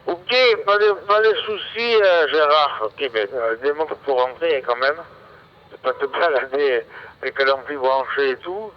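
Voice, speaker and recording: A man's voice: mid-range voice, animated, a little slow. Rambling man over a dull radio link. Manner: rambling, repeats himself. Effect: voice heard over radio. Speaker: amateur radio operator. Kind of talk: casual radio contact chat.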